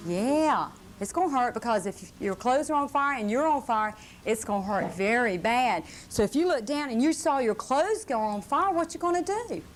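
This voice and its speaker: A woman's voice: fairly high pitch, animated, moderate pace, few pauses. Patient woman teaching children, slightly noisy tape. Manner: patient, repetitive, checking with tag questions. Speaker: fire safety presenter for children. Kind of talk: question-and-answer lesson with children. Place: live puppet show before children. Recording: noisy live room on VHS tape.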